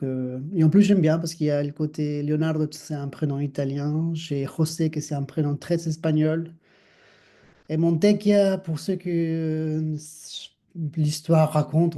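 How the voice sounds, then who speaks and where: A middle-aged man from Argentina with an Argentine accent, speaking thoughtfully as an interview guest, with many restarts and soft laughs. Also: a little high. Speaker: guest, dancer and actor. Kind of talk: reflective interview conversation.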